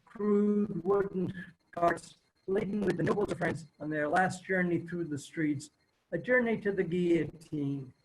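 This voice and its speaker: A man playing an old-time radio announcer and host, reading the script in a halting, stumbling way. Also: high-pitched, slight background noise, low-quality recording. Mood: formal, narrating. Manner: halting, stumbling table-read delivery. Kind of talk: 1930s radio show read aloud. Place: virtual table read from home.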